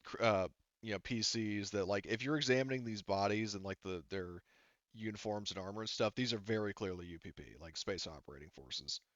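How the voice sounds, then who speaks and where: Low, clear-voiced male game master, halting. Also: fairly bright tone, no echo, highs cut off. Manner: halting, self-correcting, heavy filler use. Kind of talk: improvised tabletop game narration, casual.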